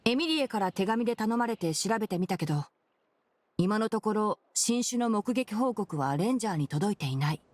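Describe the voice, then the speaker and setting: Woman voicing a calm, thoughtful young forest ranger. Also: medium pitch, normal speed, no echo, lightly acted. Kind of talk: Japanese anime game voice acting.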